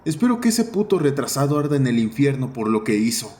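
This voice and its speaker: A man's voice: medium pitch, very animated, fast, warm tone, voice pushed up, lightly acted. A man voicing a skeptical, foul-mouthed teenage boy with a blunt manner in a horror audio story. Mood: angry. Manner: blunt, irritated. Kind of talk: dramatized horror audio fiction.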